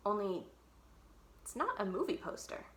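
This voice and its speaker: A woman's voice: medium pitch, big pitch swings, normal speed, fairly bright tone, reverberant. A woman reading a children's book aloud expressively, leaning on key words with dramatic emphasis. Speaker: library read-aloud storyteller.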